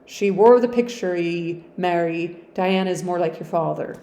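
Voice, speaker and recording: A woman's voice: on the low side, unhurried, mellow, roomy sound. A woman voicing an old Yorkshire servant woman in a storybook reading, talking in broad dialect, drawn out and emphatic. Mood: mournful. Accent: Yorkshire, put on.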